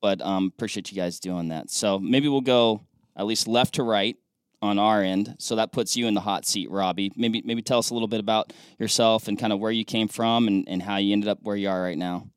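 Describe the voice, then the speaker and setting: A man's voice: on the low side, a little fast, smooth, dry recording, studio quality. A man hosting a podcast, asking guests questions in a friendly, easygoing way. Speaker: podcast host. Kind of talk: casual interview talk.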